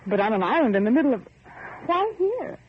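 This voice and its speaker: An actress playing an anxious, insistent young heiress in vintage radio horror, questioning with pleading, melodramatic urgency. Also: female voice, a little high, very animated, fairly quick. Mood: alarmed, bewildered. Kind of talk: 1940s radio horror acting. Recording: noisy muffled old radio recording.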